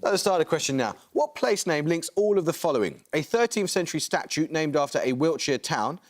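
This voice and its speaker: A man hosting a student quiz show, reading questions and giving rulings in a crisp, brisk manner. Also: fairly high pitch, big pitch swings. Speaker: quizmaster.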